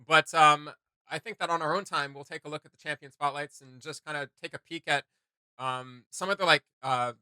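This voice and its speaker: A male co-host with a sharp, very bright voice on the higher side, captured close and dry. He chats casually and animatedly, rambling through his thoughts and leaning playfully on his emphatic points. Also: a little fast, smooth, dry recording. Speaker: co-host of a reaction video channel. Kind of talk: casual chatty reaction commentary.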